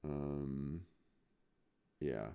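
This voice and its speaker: Deep gravelly man with a Serbian accent. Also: extremely slow, warm tone, dull audio. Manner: hesitant, thinking aloud with restarts. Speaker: chess student. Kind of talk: casual chess lesson chat. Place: home, live stream.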